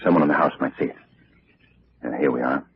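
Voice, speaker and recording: Resolute sleuth, muffled old radio. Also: male voice, rumbling low, fast, noisy background. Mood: hushed, grave. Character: calm, resolute male amateur sleuth investigating mysteries. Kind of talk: 1940s radio drama acting.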